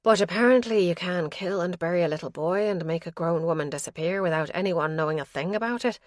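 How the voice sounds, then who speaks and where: Narrator doing a sarcastic straight-talking investigator woman, lowish voice, dry close sound. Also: fast talker, no echo, natural voice. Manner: sarcastic, emphatic.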